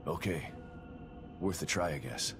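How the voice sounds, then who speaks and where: Low-voiced, gruff, weary hero. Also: male voice, animated, unhurried, over music, heavily acted. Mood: weary, wry. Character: gruff, weary male protagonist, a determined father. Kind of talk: video game cutscene acting.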